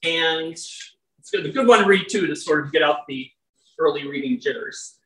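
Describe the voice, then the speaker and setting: A poet with a naturally loud, bright voice, trading wry, chatty asides before slowing into measured lines of their own verse. The sound is roomy and a little distant, picked up in an indoor venue where the speaker drifts off the stage mic. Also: mid-range voice. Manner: wry, chatty between poems, slow and measured when reading. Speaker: poet reading own work. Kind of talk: live poetry reading with casual asides. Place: indoor venue with live audience. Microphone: stage microphone, often off-mic.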